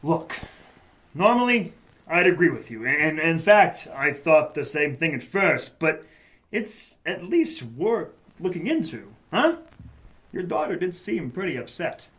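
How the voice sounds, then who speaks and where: A man playing a hardboiled private investigator in a noir audio drama, with a wry, measured delivery. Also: on the high side, big pitch swings, fairly bright tone. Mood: businesslike, probing. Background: music under the voice.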